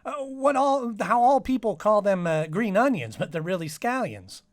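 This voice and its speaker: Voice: mid-range voice, expressive, moderate pace, no echo, clean studio sound, close to an everyday voice. A performer playing a naive, earnest male police sidekick in a 1950s-style radio parody, rambling in a folksy, repetitive way. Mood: friendly, rambling.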